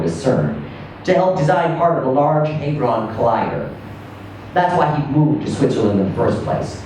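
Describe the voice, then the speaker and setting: A man performs a first-person story in a very echoey space, heard at some distance from the microphone. His delivery is conversational and theatrical, with lively swings in pitch and deliberate pauses. Faint noise sits under the voice. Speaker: reader performing a short story. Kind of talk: dramatic first-person story reading to a live audience. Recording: roomy, echoing, distant mic with faint noise.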